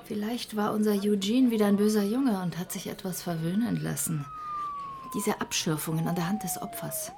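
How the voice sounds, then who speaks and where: A woman's voice: medium pitch, very animated, normal speed, crowd noise. An actress in a radio drama playing a skeptical, world-weary woman detective, wry and matter-of-fact. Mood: musing, wry. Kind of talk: modern radio drama acting.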